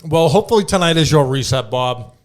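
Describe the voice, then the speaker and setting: A man's voice: on the high side, expressive, fairly quick. A man hosting a casual comedy podcast, bantering in a joking, self-deprecating way. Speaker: podcast host.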